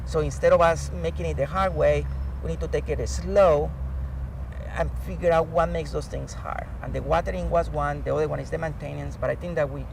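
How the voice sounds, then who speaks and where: A man with a Colombian accent and native Spanish talks as an expert guest, earnest and given to storytelling. His voice sits fairly high and has a strong creak. He is recorded outdoors, a little off the mic, with clear traffic noise behind him. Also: distant mic. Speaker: professor and climate change expert, interview guest. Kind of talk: animated explanatory interview talk.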